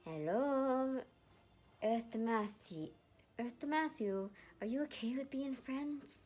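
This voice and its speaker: A woman's voice: fairly high pitch, lively intonation, slow pace, voice pushed up. Woman doing a bossy tough dragon girl in a story, blunt, voice jumping all over. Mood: impatient. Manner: scolding, forceful. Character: feisty, blunt, powerful young woman dragon shapeshifter.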